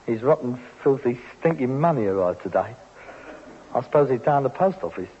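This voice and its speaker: A man's voice: medium pitch, lively intonation, moderate pace, long reverb tail, close to an everyday voice. A man acting a resentful, sarcastic rag-and-bone man with a London accent in an old British radio sitcom. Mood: puzzled, then shocked. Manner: sarcastic, mock-impressed. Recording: noisy, dull vintage radio recording.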